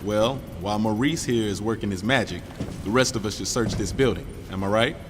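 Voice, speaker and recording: An actor playing a cocky, easygoing soldier in a video game, with a casual, teasing manner. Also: male voice, fairly low pitch, fairly quick, lightly acted. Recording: long reverb, noisy outdoor ambience.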